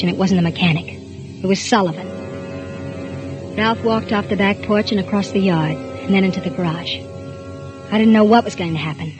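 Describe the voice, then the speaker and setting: An actress playing a nervous, guilt-ridden housewife, narrating in 1940s radio drama style with a tense, fearful delivery. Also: female voice, medium pitch, dull audio, lightly acted. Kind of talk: 1940s radio acting with first-person narration. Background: music underscore.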